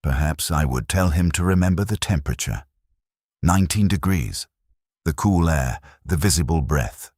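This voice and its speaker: Very deep, husky, detached soldier voiced by a man. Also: lively intonation, normal speed, darkish tone, dry recording, clean studio sound. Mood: somber, reflective. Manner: clinical, detached, terse clipped sentences. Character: weary, resigned, detached soldier-clerk logging records on a toxic war world. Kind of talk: scripted first-person dramatic narration.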